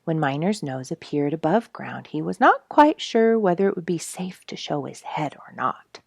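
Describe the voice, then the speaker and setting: A woman reading a children's story aloud with expressive, emphatic storytelling. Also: low voice, moderate pace, vocal fry, breathy edge, dry recording, clean studio sound. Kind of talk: read-aloud storybook narration.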